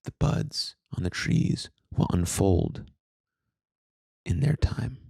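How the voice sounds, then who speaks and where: A man guiding a meditation in a calm, soothing, unhurried way, reading slowly with long pauses between short phrases. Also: sits low, creaky, husky, no room sound, studio quality. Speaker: meditation guide, podcast host. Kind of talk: guided meditation, slow scripted narration.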